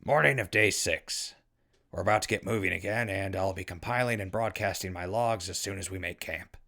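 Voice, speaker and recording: A man playing an easygoing, friendly ghoul radio broadcaster in a post-apocalyptic audio drama, husky and gravelly, talking in a casual way. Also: deep voice, fairly quick, sharp tone, full character voice. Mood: logging, upbeat. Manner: casual, conversational.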